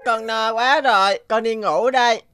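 A woman's voice: on the high side, normal speed, very noisy, close to an everyday voice. A woman voicing an affectionate little boy in a cartoon, childlike and sweet. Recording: loud background music.

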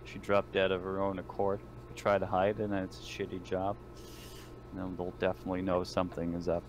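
Commanding man with a deep voice. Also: completely flat delivery, fairly quick. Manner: commanding, clipped orders. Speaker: player acting as squad lieutenant. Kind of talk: in-character tabletop roleplay. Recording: noisy online voice chat recording.

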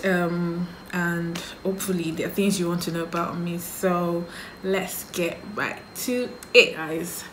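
A woman's voice: fairly low pitch, roomy sound, a little hiss or hum, poor audio. A Nigerian woman in her thirties, a makeup vlogger chatting casually to camera and musing through get-to-know-me questions about herself. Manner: relaxed, musing, answers her own questions aloud. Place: small indoor room, sheet backdrop.

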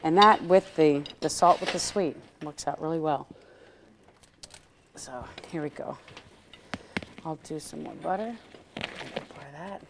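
A woman's voice: low voice, slow, long pauses. A middle-aged woman giving a casual, chatty cooking demonstration on a studio kitchen set. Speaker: cook demonstrating on a cooking show. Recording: clearly noisy TV studio recording.

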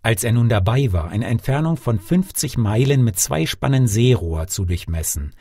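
A man narrating a fairy tale as a scripted audiobook, theatrical and expressive. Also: mid-range voice, normal speed, slightly rough, dry recording, studio quality.

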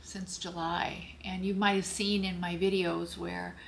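A hoarse older woman speaking slowly and haltingly to the camera from her home office, telling a personal health story to her viewers. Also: on the low side, level tone, a little hiss or hum. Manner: slow, halting, searching for words. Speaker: lifestyle vlogger.

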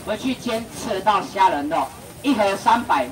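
Young man, Taiwanese accent, fast market-auction patter, heard from a distance over a noisy crowd. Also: high-pitched, animated. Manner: loud sing-song price calling, bantering with the crowd. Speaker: seafood auctioneer. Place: crowded outdoor seafood market under tents.

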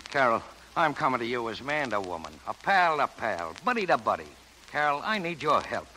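An actor playing a pushy, cocky showbiz hustler, wheedling and stammering in slangy 1930s radio comedy style. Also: male voice, medium pitch, sing-song, a little fast, bright, forceful tone, a little hiss or hum, poor audio. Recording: old 1930s radio broadcast.

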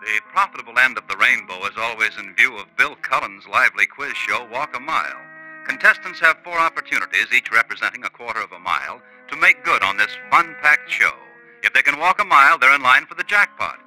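Male 1950s radio announcer, mid pitch, forceful piercing tone, vintage broadcast recording. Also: lively intonation, brisk, faint music behind, poor audio.

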